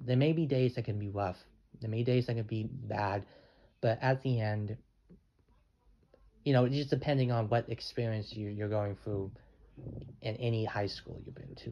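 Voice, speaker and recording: An eighteen-year-old man talking in a rambling vlog, halting and restarting his sentences often. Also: on the low side, gravelly, warm tone. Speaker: channel owner. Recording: dull lo-fi with faint noise.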